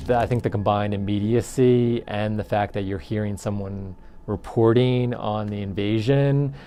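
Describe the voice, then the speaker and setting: A man giving casual, halting documentary interview commentary in an informal way. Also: fairly low pitch, fairly even intonation, mellow, a little hiss or hum.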